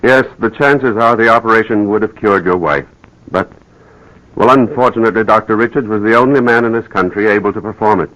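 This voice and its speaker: An actor playing a grave, apologetic doctor in a radio drama, speaking in a measured, halting way. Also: male voice, fairly low pitch, fairly bright tone, close to an everyday voice, low-quality recording. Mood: grave, reluctant. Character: grave, hesitant male doctor breaking bad news. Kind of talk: 1940s radio drama acting.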